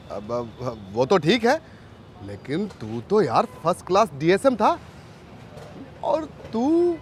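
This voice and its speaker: Concerned pump co-worker, high-pitched, slow delivery, chiding questions, chummy tone, training film drama. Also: male voice, a little hiss or hum. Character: concerned, scolding male petrol pump co-worker, baffled and chummy. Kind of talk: training film dialogue acting.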